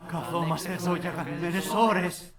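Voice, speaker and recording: A man's voice: high voice, echoey room, crowd noise, voice pushed up, close to an everyday voice. A man voicing a desperate, exhausted fandub character who pleads in a strained, overwrought way. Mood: desperate pleading.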